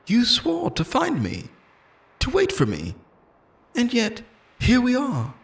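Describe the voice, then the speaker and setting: A man's voice: very high voice, huge pitch swings, slow pace, creaky, full character voice. A man playing an obsessive, lonely immortal lover in an intimate, theatrical roleplay monologue, pleading and possessive, moving between tenderness and menace. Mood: bitter, menacing.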